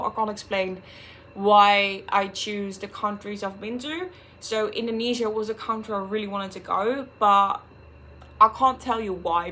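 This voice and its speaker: A young Dutch woman in her twenties speaks English with a Dutch accent in a candid vlog, blunt and unfiltered, with a curse word here and there. Her voice sits at an ordinary middle pitch for a woman. A faint hiss of noise runs under the recording. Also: a bit bright. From Netherlands. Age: 20s. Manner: frank and unfiltered, swears freely. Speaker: vlogger.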